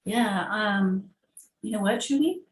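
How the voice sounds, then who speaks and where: A woman's voice: medium pitch, slow pace. A woman panelist chatting casually, recorded with roomy, echoey audio. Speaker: discussion panelist. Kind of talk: casual chat.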